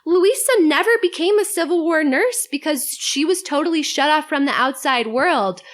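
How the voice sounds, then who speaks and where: A woman's voice: high voice, expressive, moderate pace. A woman talks through a story as a podcast guest. She is articulate and lightly wry, moving between prepared narration and conversational asides.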